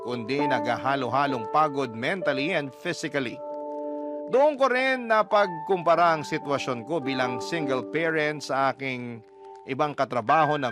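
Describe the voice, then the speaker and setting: A man reading a listener's story as dramatic scripted narration, in the manner of a radio storyteller. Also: medium pitch. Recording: clear music bed behind voice. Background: instrumental music.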